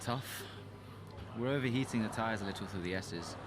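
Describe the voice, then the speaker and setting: Reserved young racing driver voiced by a man, low-pitched. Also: moderate pace, noisy background, close to an everyday voice. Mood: reserved, sincere. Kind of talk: naturalistic video game cutscene acting.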